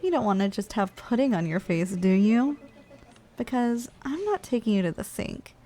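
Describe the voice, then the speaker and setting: A woman's voice: on the high side, animated, moderate pace, warm tone, close to an everyday voice. A woman voicing a playful, lazy giantess office worker in an intimate ASMR roleplay, coaxing and casually condescending as she speaks straight to the listener.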